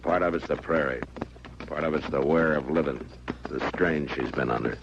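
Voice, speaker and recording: Steady frontier marshal, american, really low voice, old radio western, noisy muffled recording. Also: male voice, normal speed, creaky. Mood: dry, firm. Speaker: actor in a radio drama.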